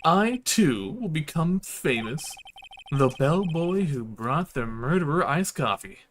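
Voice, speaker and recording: A man's voice: on the high side, animated, slow, fairly bright tone, slight background noise, pitched above the performer's own voice. A man voicing an obsequious, boastful and opportunistic hotel bellboy in a visual novel, fawning and formal. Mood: eager, proud. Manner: fawning, formal, peppering speech with sir. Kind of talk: visual novel character read aloud.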